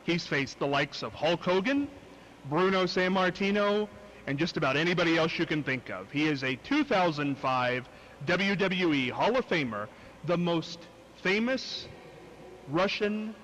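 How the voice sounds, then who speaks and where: A man hosting a talk show, asking an interview guest questions slowly and deliberately. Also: on the high side, fairly bright tone, a little hiss or hum, rough recording. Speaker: host and interviewer.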